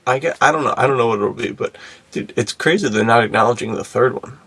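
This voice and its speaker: A man's voice: medium pitch, fast, fairly bright tone, husky. A man co-hosting a podcast in casual, slangy, enthusiastic bro talk. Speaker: podcast host. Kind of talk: casual chat.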